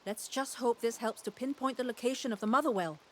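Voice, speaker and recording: A woman's voice: a little high, a little fast, natural voice. An actress playing a curious, analytical woman scholar in a fantasy video game, articulate and inquisitive. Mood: focused, eager. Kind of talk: video game dialogue acting.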